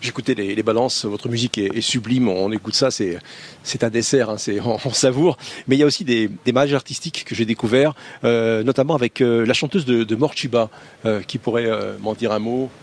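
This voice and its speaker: A man's voice: medium pitch, brisk. A male radio host interviewing musicians, asking admiring, enthusiastic questions.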